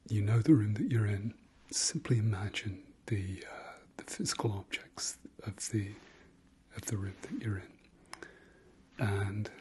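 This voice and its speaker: Male meditation guide: lowish, very slow, whispered ASMR delivery, soft and hesitant. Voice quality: breathy, whispery. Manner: soft, gentle, hesitant pauses with trailing repeats.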